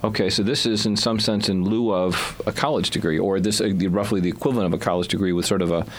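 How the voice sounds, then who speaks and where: Older man, radio studio host, lowish voice, chatty and teasing. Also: steady tone, fast. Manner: chatty, teasing, laughs often. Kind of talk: interview.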